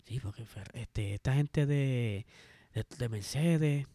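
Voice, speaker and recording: A man co-hosting a casual talk, animated and telling stories. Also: mid-range voice, takes it slowly, dry recording. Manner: animated, acts out quotes. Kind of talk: casual chat.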